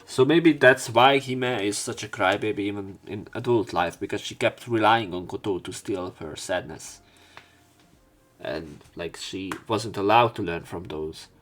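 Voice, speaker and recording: A man reading visual novel narration aloud as a let's play commentator, with halting casual asides where he corrects himself. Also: fairly low pitch, fairly bright tone, faint music behind.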